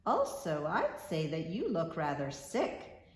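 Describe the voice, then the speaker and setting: A woman's voice: sits low, wildly swooping pitch, slow pace, roomy sound, a little hiss or hum, heavily acted. A woman voicing a kindly but firm male grocer in a children's storybook, patronizing and full of advice. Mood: chiding concern.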